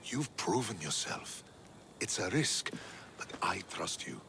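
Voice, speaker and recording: A man playing a battle-worn, loyal Spartan soldier in dramatic game dialogue, measured and steadfast. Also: sits low, sing-song, creaky, bright, forceful tone, a little hiss or hum, full character voice. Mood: cautious, trusting.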